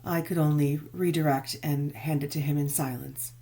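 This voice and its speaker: Mellow, low-voiced woman narrating gothic fiction. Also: steady tone, moderate pace. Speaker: audiobook narrator. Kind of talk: dramatic scripted narration of a gothic novel.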